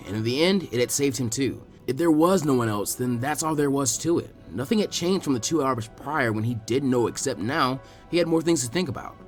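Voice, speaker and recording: A man reading fan fiction aloud in an expressive audiobook style. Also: medium pitch.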